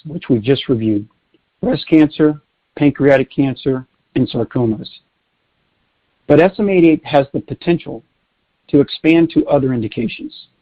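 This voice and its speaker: A man presenting as a company executive, reading prepared corporate remarks in a formal, measured way. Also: medium pitch. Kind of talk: scripted corporate earnings call presentation. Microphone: telephone. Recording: tinny narrowband conference phone line.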